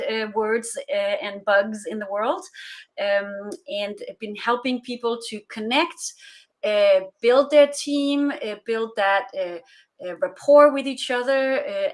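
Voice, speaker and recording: A woman from Denmark with a Danish accent, a guest answering interview questions in a thoughtful way with frequent filler "uh"s. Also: medium pitch. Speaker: guest, remote collaboration consultant.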